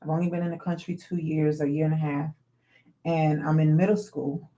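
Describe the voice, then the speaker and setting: A woman's voice: low voice, little pitch movement, fairly quick, dark tone, poor audio. A woman in her forties with a New York accent, co-hosting a talk radio show and telling frank, blunt stories in casual chat. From Latin America. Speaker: co-host.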